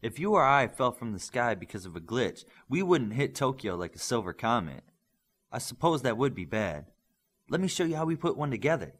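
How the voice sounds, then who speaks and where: Man as young male superhero, earnest, questioning, husky edge, lively intonation, audiobook character. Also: mid-range voice, brisk. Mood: earnest, explaining.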